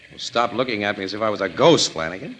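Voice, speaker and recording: An actor playing a sharp, wisecracking newspaper crime photographer in a 1940s radio drama. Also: male voice, lowish voice, lively intonation, fast talker. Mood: baffled. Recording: faintly noisy old radio recording.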